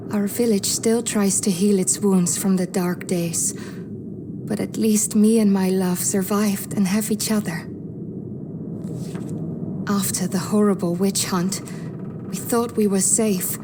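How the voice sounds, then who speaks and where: A woman's voice: medium pitch, noisy background. An actress playing a lovelorn young village woman reading out her diary in a video game, plaintive and confiding. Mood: wistful, loving. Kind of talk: scripted dramatic diary monologue.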